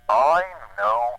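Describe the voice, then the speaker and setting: An actor playing a secretive male janitor calling in to a show, speaking in a low, measured, cryptic way. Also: bright, forceful tone, dry recording, heavily acted, low-quality recording. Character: mysterious older male janitor caller, secretive. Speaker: caller.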